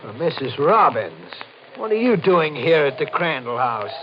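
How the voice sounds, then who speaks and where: An actor in an old-time radio mystery playing a suspicious, puzzled man who questions people in a brisk, incredulous manner. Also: a little high, very animated. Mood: puzzled questioning. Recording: muffled, hissy old radio recording.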